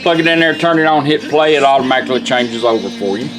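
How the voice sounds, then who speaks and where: A man's voice: medium pitch, lively intonation, quick pace, over music. A plain-spoken man giving a casual hands-on product demonstration in a folksy way.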